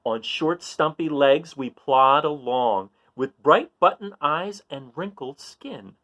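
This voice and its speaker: A man's voice: fairly high pitch, expressive, takes it slowly, sharp tone. A man hosting a children's read-aloud, reading a picture book and chatting in an encouraging way, often putting questions to his listeners.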